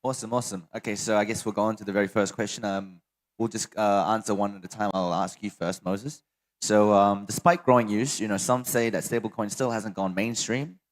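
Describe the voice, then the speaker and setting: A man's voice: on the low side, steady tone, a little fast. Upbeat male panel moderator. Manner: upbeat, conversational questioning.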